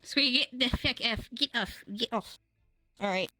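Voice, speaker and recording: A woman's voice: a little high, a little slow, background noise. A woman chatting casually in character during improvised roleplay.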